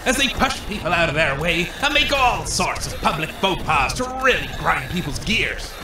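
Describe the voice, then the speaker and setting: A man's voice: fairly high pitch, big pitch swings, normal speed, over music. A man acting as a brash wasteland weapons salesman, theatrical and grandiose, in a comedy radio drama. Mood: salesmanlike. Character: brash male wasteland arms salesman showman. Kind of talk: comedy radio drama, stage announcer patter. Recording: echoey, slightly distant from the mic.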